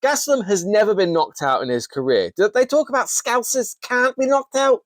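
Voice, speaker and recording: A man's voice: high voice, sing-song, a little fast, a bit bright, no room sound. A man, hosting a casual, enthusiastic fight recap with frequent restarts. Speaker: host. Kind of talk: casual MMA recap commentary.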